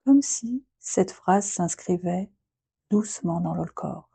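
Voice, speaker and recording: Female meditation guide: slow, soothing delivery with long pauses, soft breathy tone, close dry sound. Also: fairly low pitch, no echo. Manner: gentle, unhurried, with long pauses between short phrases. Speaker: yoga nidra guide.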